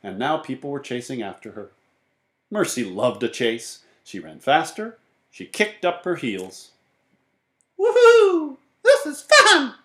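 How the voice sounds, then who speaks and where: A man reads a children's story aloud in a roomy, echoey space. His mid-range voice moves up and down in lively, animated narration. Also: mid-range voice, measured pace. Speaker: storybook reader.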